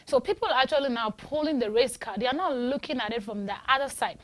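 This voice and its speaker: A woman's voice: high voice, quick pace, a bit bright. A woman from Ghana hosting a call-in talk show, chatty and emphatic, often restarting her sentences. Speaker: radio show host. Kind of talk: casual call-in discussion.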